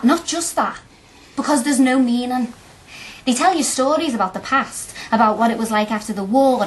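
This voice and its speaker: An actress playing a chatty, blunt young working-class student in a radio play, speaking colloquially and earnestly. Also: female voice, sits high, poor audio.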